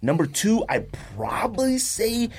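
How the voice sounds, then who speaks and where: A man hosting a casual sneaker and sports podcast, talking in a slangy, animated way and often repeating himself for emphasis. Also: high voice, measured pace, husky. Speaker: podcast host.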